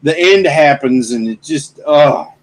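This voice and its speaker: A man's voice: fairly high pitch, animated, a little slow, low-quality recording. A man in his fifties co-hosting a podcast, casually giving blunt opinions on movies, often restarting his sentences. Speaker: podcast co-host.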